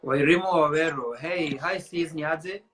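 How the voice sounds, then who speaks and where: A man's voice: on the high side, measured pace. A man hosting a casual live-stream chat, greeting viewers and talking with many filler sounds. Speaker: show host.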